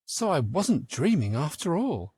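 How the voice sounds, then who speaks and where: A puzzled young girl from a children's storybook, read by a male narrator. She muses aloud with lively intonation and a mildly complaining tone, in a very dry recording. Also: on the high side, unhurried, breathy edge, no room sound, heavily acted. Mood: musing, complaining.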